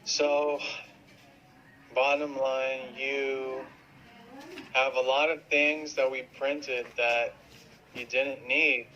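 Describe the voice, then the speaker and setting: A man's voice: medium pitch, little pitch movement, very slow, long pauses, sharp tone. A man chatting casually as a co-host and giving practical advice to viewers. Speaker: vlogger and co-host. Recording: dull lo-fi sound, faint noise.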